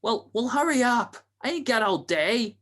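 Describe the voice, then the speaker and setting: A man acting a nervous, slow-witted gangster's henchman in a radio play, hesitant and stammering, with slangy speech. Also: extremely high pitch, animated, fairly bright tone, exaggerated voice. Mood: impatient, demanding.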